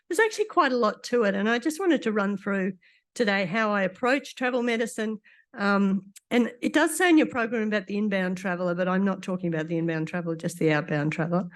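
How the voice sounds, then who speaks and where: A woman doctor gives a conference lecture in a conversational way with dry asides, her voice a bit croaky after illness. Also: medium pitch. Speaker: doctor giving a conference talk.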